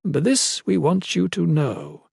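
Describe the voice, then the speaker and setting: A male narrator puts on a commanding matriarch from a fantasy audiobook, stern yet fond. She admonishes in formal phrases, with pitch raised well up and a dry, close sound. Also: huge pitch swings, slow pace, vocal fry, darkish tone, husky, no echo, heavily acted. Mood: brisk, affectionate. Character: stern, affectionate matriarch, commanding mother of high rank.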